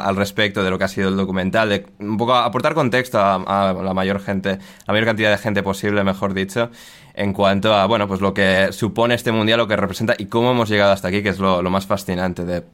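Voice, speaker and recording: A man's voice: low voice, steady tone, a little fast, a bit bright, clean tone. A man hosting a podcast interview, chatty and rambling as he asks questions. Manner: chatty, rambling with fillers and restarts. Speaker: podcast host.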